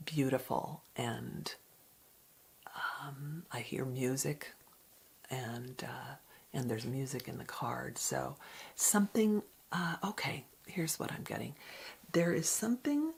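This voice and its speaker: A woman giving an ASMR card reading in a soft, breathy whisper, with a gentle, reflective, unhurried manner. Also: very deep voice. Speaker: card reader.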